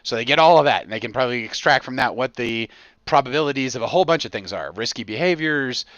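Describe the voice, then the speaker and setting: A man's voice: medium pitch, quick pace, no echo, muffled recording. A man hosting a podcast, rambling casually through stories, acting out imagined quotes and swearing now and then. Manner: animated storyteller who acts out imagined quotes, swears casually. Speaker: podcast host.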